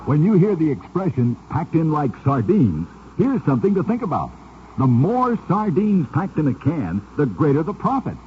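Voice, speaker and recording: A man's voice: on the high side, very animated, moderate pace. A man narrating scripted curiosities for an old-time radio show, with a dramatic, emphatic storyteller delivery. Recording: dull, noisy vintage radio recording.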